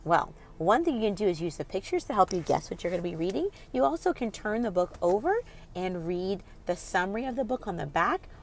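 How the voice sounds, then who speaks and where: Animated woman reading a children's storybook aloud. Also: mid-range voice, a little fast. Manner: expressive, instructive, addresses young listeners directly. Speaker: read-aloud host for children. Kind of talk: children's storybook read-aloud with questions to listeners.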